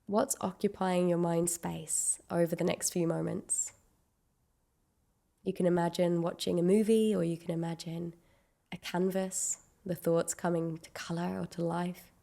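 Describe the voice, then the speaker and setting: Slow, mellow woman guiding a meditation. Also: fairly low pitch, level tone, vocal fry. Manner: gentle, unhurried instruction with long pauses. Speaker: meditation guide. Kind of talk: guided meditation.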